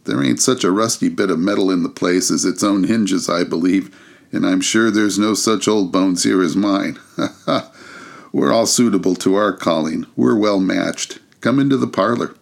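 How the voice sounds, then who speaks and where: A man's voice: low-pitched, moderate pace, gravelly. A man voicing old Joe, a sly gray-haired dealer nearly seventy, in a storybook reading with an easygoing drawl.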